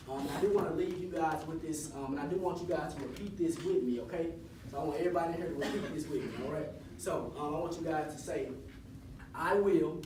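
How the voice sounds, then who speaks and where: A man in his twenties giving a casual, encouraging motivational talk to high school students and leading them in chants. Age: 20s. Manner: casual, encouraging, leads call-and-response chants. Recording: echoey hall, voice from far off, audible room noise.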